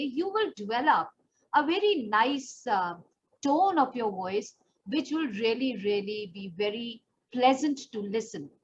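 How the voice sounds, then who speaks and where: Woman, Indian accent, raised pitch, coaching tone, instructional, demonstrative, slight background noise. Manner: explanatory, demonstrative, addressing the learner directly. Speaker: pageant and personal development trainer. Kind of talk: instructional voice training lesson. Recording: dull lo-fi audio, faint background noise.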